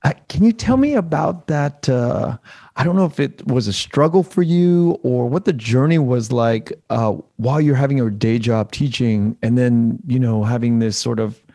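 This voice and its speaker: A man's voice: mid-range voice, moderate pace, warm tone. A man interviewing someone in a conversational way, feeling his way through questions and rephrasing them as he goes. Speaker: interviewer, host.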